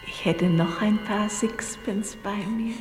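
A woman playing a gracious, devout upper-class lady in an old German radio play, measured and refined, sometimes hushed. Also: mid-range voice, close to an everyday voice. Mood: gracious, composed. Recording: echoey vintage radio play, long reverb. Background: music.

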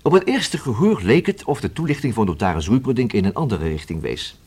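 An actor in a Dutch radio play playing a methodical, collegial senior police detective who explains things conversationally, with small hesitations. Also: male voice, fairly low pitch, big pitch swings, fairly quick, darkish tone, slightly rough, heavily acted. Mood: methodical briefing.

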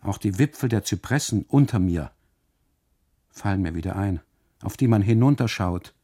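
An actor playing an uneasy husband on holiday in a literary radio monologue, narrating inwardly and haltingly in a gravelly, husky voice. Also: male voice, fairly low pitch, lively intonation, dark tone. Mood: anxious unease. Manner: halting, brooding, deliberate phrasing with repetitions and dramatic pauses. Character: uneasy German husband on holiday, obsessively narrating his story.

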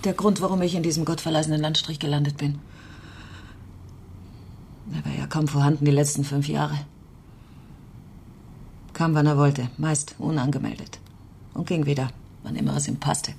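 A deep female voice as a bitter, resolute countrywoman in a radio play. She is blunt and terse, with a dark tone. Some noise sits in the background. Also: steady tone, moderate pace, gravelly, pauses often. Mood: bitter, weary. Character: bitter, blunt, tough countrywoman running a horse farm. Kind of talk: naturalistic radio drama dialogue.

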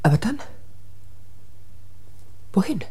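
An actress playing a frightened, bewildered woman in a radio play, speaking haltingly in a breathy, husky voice. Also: dark tone, slight background noise, lightly acted. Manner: halting, short uncertain replies.

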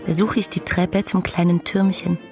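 An actress playing an ardent, dreamy young letter writer, breathy and confiding, in a radio play. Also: female voice, lowish voice, flat delivery, moderate pace, music in the background, natural voice. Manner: musing, confiding, intimate.

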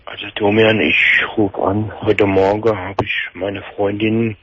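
A man in his forties with a Palatinate German accent, calling a late-night radio show, talking in a halting, dazed way full of restarts. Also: on the low side, little pitch movement. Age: 40s. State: shaken, in shock. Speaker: caller. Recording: dull lo-fi phone-in audio.

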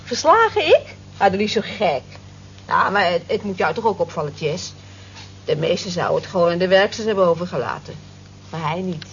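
A woman's voice: lowish voice, sing-song, fairly quick. An actress in an old radio play voicing a smitten, conscientious cleaning woman who talks earnestly and admiringly. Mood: earnest, defensive. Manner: earnest, admiring, defensive when teased. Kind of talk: vintage radio play acting. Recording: dull, hissy old radio play recording.

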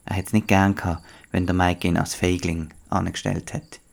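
A man narrates a scripted story for children in a deep voice. He speaks with a Swiss German accent, as the storyteller of an audio play. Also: level tone, normal speed, gravelly.

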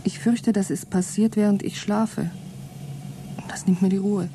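A woman's voice: mid-range voice, fairly even intonation, measured pace, noisy background, lightly acted. An actress playing an anxious but defiant woman trapped aboard with her partner in a radio play. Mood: uneasy, weary. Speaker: radio drama actor. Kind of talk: German radio play acting, spoken logbook monologue.

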